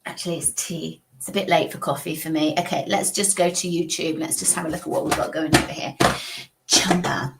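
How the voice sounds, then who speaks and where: A woman's voice: sits low, fairly even intonation, fairly quick, creaky, background noise. A woman hosting a live-stream tarot reading, chatty and reassuring, often repeating herself. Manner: chatty, repeats phrases, reassuring spiritual talk. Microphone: streaming setup.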